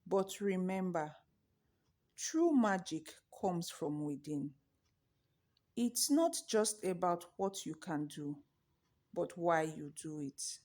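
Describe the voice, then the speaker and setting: A woman voicing a kindly, wise old male sage in a storybook, with gentle, unhurried delivery. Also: on the low side, expressive. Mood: gentle, solemn. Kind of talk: storybook character reading.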